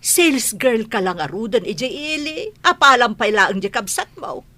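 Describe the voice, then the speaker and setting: Woman acting a sharp-voiced, scolding mother. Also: on the high side, expressive, moderate pace, no echo. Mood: reproving. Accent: Filipino. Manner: reproving. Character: scolding village mother of two daughters. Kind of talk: radio comedy drama acting.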